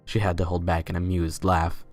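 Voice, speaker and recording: Man, low pitch, scripted fiction narration, dramatic reading, faint music behind. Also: monotone, moderate pace, darkish tone. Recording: music under the voice. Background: background music.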